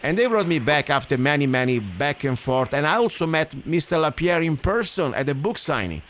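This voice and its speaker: A man's voice: on the high side, expressive, a little fast, fairly bright tone, a little hiss or hum. Man, indignant radio host. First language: Italian. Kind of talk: casual radio talk.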